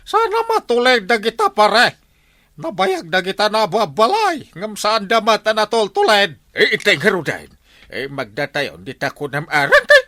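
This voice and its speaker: A jolly, hungry Ilocano compadre. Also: male voice, sits high, wildly swooping pitch, moderate pace, bright, forceful tone, no echo, studio quality, exaggerated voice. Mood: joking. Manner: laughs often, teasing. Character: hungry, joking middle-aged male compadre. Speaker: radio drama actor. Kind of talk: 1950s-style radio comedy acting.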